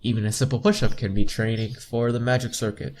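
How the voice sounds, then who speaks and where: A man's voice: mid-range voice, flat delivery, fairly quick, darkish tone, a little hiss or hum. Young man casually reading a game aloud. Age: young adult. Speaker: gameplay video host. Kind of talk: casual visual novel playthrough, reading text aloud with asides.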